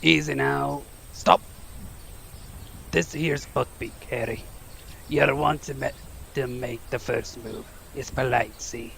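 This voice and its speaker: A big rustic gamekeeper, heavily gravelled and unhurried. He is folksy, protective and eager in this audio drama, with a bright, forceful edge to his voice. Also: medium pitch, steady tone, a little hiss or hum, full character voice. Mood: coaxing. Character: big hearty gruff gamekeeper teaching class, eager, protective.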